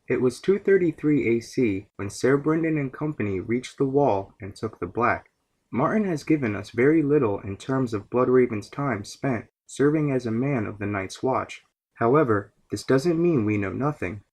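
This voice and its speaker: A man narrating a scripted fan lore series as its host, in a measured, explanatory way. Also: medium pitch, animated, a little mellow.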